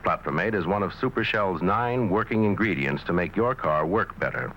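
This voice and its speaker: Deep guy narrating an old tv commercial, noisy background. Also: animated, normal speed, fairly bright tone. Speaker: commercial announcer. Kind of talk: scripted vintage TV ad narration.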